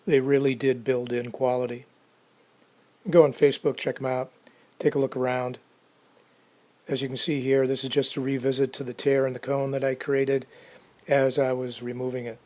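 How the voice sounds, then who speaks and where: A man casually narrating a hands-on restoration video, unhurried and halting as he thinks aloud. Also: medium pitch, flat delivery, mellow. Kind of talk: casual narrated how-to commentary.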